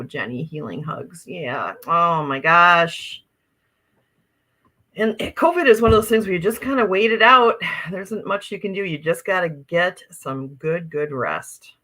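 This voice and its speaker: A woman's voice: low voice, pushed and bright. A woman from Wisconsin with an Upper Midwest American accent, chatting casually on a livestream, reading viewer comments aloud in a bubbly, exclamatory way. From Wisconsin, USA. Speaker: livestream host.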